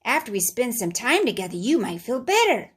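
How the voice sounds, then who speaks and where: A woman voicing a friendly, hairy red creature that is a child's anger, speaking softly and coaxingly in a storybook read-aloud. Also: fairly high pitch, very animated, fairly quick, slightly rough.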